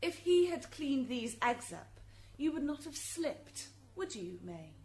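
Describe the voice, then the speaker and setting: A woman's voice: sits high, very animated, slow, a little mellow, full character voice. A woman playing a relentless, accusing prosecutor in a radio drama, pointed, curt and insistent.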